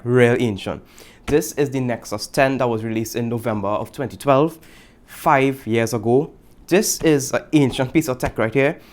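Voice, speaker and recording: A young man from Trinidad and Tobago with a Trinidadian accent, casually reviewing a tablet in a chatty, joking way. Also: medium pitch. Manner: laid-back, chatty, repeats slang, jokes aside. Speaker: tech vlogger.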